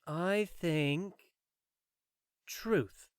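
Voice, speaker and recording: A man's voice: fairly high pitch, drawn-out words, clear, resonant tone, no room sound, clean studio sound. A man voicing an earnest, mild young male gamer with an apologetic manner in a fantasy audiobook.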